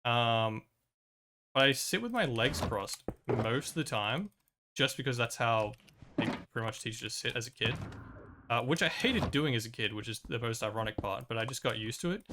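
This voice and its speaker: A man's voice: medium pitch, background noise. A young man streaming a video game, chatting casually and rambling with lots of fillers and restarts, his voice a little worn from a recent cold. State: recovering from a cold and lost voice. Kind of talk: casual chat while playing.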